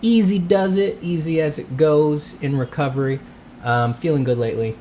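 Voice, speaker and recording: A man's voice: fairly high pitch, moderate pace, mellow, slight background noise. Congested man rambling on a livestream. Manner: rambling, self-interrupting, greets chat. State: congested, coughing. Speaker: livestream host, artist. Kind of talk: casual livestream chat. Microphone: streaming setup mic.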